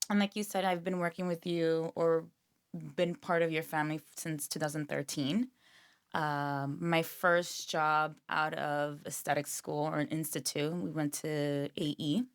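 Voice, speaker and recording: A woman's voice: low-pitched, little pitch movement, a little slow, smooth. A woman in her thirties, a guest esthetician, chatting casually and laughing easily at herself. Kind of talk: casual chat.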